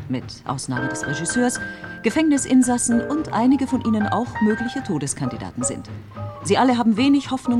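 An actress playing a poised female radio host, announcing in a polished, formal way over music in a radio drama. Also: fairly low pitch. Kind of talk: broadcast presenter sign-off in a radio drama.

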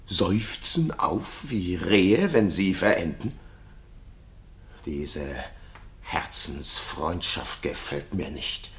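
An actor playing a jealous, tormented king in a classical radio play, speaking in a halting, theatrical, brooding way. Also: low-pitched, expressive, fairly bright tone, exaggerated voice. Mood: suspicious, agitated.

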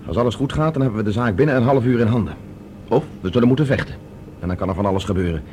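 A man's voice: fairly low pitch, very fast, darkish tone, slight background noise, close to an everyday voice. A man playing a decisive professor in a science fiction radio drama, speaking with urgent, analytical authority. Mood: decisive, insistent. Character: decisive male professor plotting as captive space traveller.

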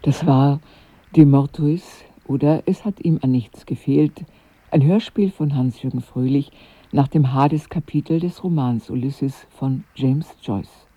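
A radio announcer reading formal scripted credits in a measured, sober way. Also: medium pitch, no echo. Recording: dry but thin, phone-like radio recording.

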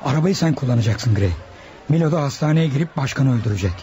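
An actor playing a confident, commanding leader of hired killers in a Turkish radio drama. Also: male voice, medium pitch, lively intonation, mellow, close to an everyday voice. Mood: confident, plotting. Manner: brisk, commanding. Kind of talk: Turkish radio theatre acting. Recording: noisy old radio drama recording.

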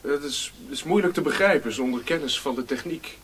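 A man's voice: a little high, a little fast, fairly bright tone, slightly rough. A man playing a guarded, disillusioned Western radio operator in a radio play, restrained and serious.

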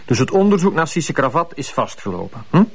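An actor playing a bossy, condescending well-off schemer with a Flemish accent, patronising and controlled, in TV crime drama style. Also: male voice, a little high, big pitch swings, brisk, slightly rough, heavily acted. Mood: patronising, controlling. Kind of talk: naturalistic TV crime drama acting.

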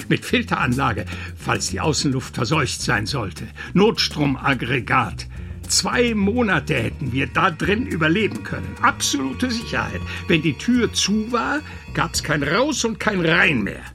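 An actor playing a boastful, self-important engineer of ninety in a radio play. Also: male voice, fairly high pitch, sing-song, measured pace, gravelly, music in the background, heavily acted. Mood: emphatic boasting. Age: older. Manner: self-important, speaks of himself by surname. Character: boastful ninety-year-old engineer, proud bunker builder. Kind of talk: radio drama acting.

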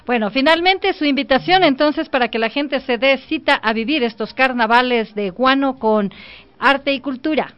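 A woman hosting a radio interview, asking questions briskly and wrapping up in announcer style. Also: high-pitched, smooth, dull audio. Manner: brisk, announcer-like, closing formulas. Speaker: radio host.

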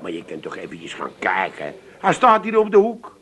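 Slick used-car salesman, wildly animated. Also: deep voice, heavily acted. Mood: glib reassurance. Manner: fast-talking sales patter, brazenly glib. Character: slick, pushy male used-car salesman, shamelessly upbeat. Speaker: actor in radio play. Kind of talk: 1980s comic radio play acting.

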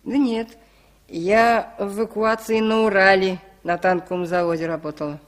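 A woman's voice: medium pitch, flat delivery, moderate pace, close to an everyday voice. Village widow in her sixties, folksy, grumbling, careworn and wary, 1960s radio drama female actor. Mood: sorrowful. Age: older. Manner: plain-spoken, folksy, grumbling. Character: suspicious, careworn village widow of sixty-three.

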